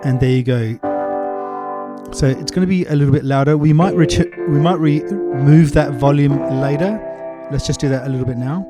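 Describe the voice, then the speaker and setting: Man narrating a music software tutorial over music. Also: fairly high pitch, normal speed. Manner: explanatory, step-by-step. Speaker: music production tutorial presenter.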